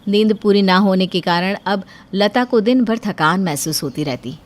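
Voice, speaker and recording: Lady narrator for a hindi moral story cartoon, medium pitch, scripted storytelling. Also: normal speed.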